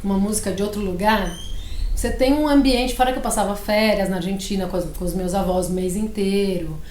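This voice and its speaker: A woman's voice: mid-range voice, fairly quick, a little mellow. A woman in her forties, a publishing director, talking thoughtfully in an interview, often restarting and ending with a questioning "né?". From Brazil. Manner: thoughtful, many restarts and trailing "né?". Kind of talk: interview conversation. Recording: echoey room with faint noise.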